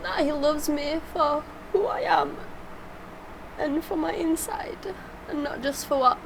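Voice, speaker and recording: A woman's voice: high voice, unhurried, background noise. A woman talking casually and personally as a reaction vlogger, heartfelt and rambling, emotional. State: emotional, tearful.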